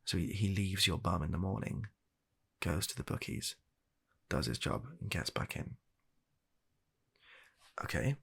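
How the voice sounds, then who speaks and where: Low, husky British man acting a deadpan doctor. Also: exaggerated voice. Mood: baffled, resigned. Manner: halting, deadpan, exasperated. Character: weary, reluctant, baffled male doctor humouring an absurd patient. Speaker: comedy ASMR performer. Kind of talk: comedy ASMR medical roleplay.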